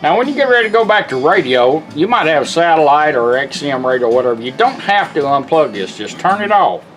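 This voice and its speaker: Folksy man demonstrating gear, slightly high voice. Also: very animated, a little fast, a bit bright, faint music behind. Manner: folksy, plain-spoken step-by-step instructing. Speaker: radio restorer and demonstrator. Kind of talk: casual hands-on product demonstration.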